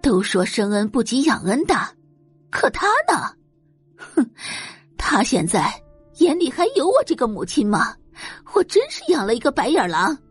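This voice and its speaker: A woman's voice: fairly high pitch, big pitch swings, a little slow, pauses often, breathy edge, soft background music, heavily acted. A woman voicing an angry, scornful middle-aged mother in an audio novel, huffing and ranting indignantly. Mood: bitter indignation. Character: angry, scornful middle-aged adoptive mother, doting on her own daughter.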